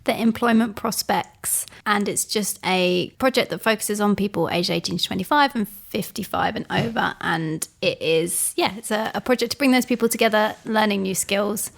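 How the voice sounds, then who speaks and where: A woman's voice: medium pitch, normal speed, vocal fry, hardly any pauses. A woman from England co-hosting a podcast, explaining things plainly in casual chat. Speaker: podcast co-host.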